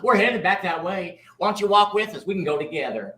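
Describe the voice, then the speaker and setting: A man's voice: high-pitched, lively intonation, a little fast, pushed and bright, voice pushed up. A man reading the part of a friendly, folksy small-town man, starstruck and eager to help. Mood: helpful.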